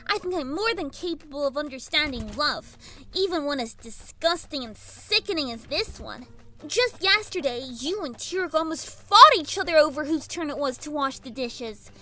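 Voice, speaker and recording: Shrill, bratty little pony villainess. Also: female voice, lively intonation, normal speed, bright, forceful tone. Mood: sarcastic disdain. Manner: whiny, exasperated, dramatic. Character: bratty, indignant young female pony villain. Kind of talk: fan fiction audio drama acting.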